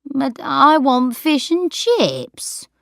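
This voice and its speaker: A woman's voice: medium pitch, sing-song, slow pace, a bit bright, no room sound, clean studio sound, pitched above the performer's own voice, full character voice. A woman voicing a demanding, suspicious and confused grandmother of eighty.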